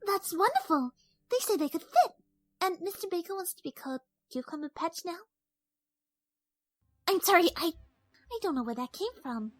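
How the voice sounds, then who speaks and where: A woman's voice: very high voice, sing-song, normal speed, pauses often, no room sound, clean studio sound. A woman voicing a timid, tender-hearted little filly with a childlike, earnest manner in a cartoon audio drama. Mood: shy, gentle.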